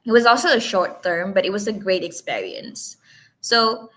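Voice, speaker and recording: Young woman, from Indonesia, Indonesian native speaker, webinar speaker, hesitant, many fillers, medium pitch. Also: animated, normal speed, a bit bright. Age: young adult. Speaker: guest speaker. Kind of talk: webinar talk.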